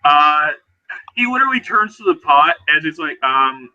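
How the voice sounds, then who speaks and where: Bright-voiced man narrating a roleplay haltingly. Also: fairly high pitch, big pitch swings, muffled recording. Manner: halting, searches for words. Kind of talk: casual improvised narration of a tabletop roleplay.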